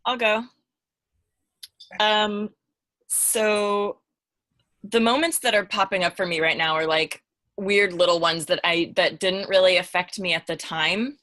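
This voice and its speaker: A woman's voice: mid-range voice, a little slow, long pauses, fairly bright tone, no room sound. A woman talking informally as a podcast panelist, thinking aloud and searching for her words as she goes. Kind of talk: casual unscripted discussion.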